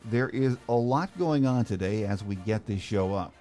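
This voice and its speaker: A man's voice: lowish voice, moderate pace, warm tone, soft background music. A man hosting a sports podcast, reading a prepared opening and then interviewing his guest with easy fillers. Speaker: podcast host.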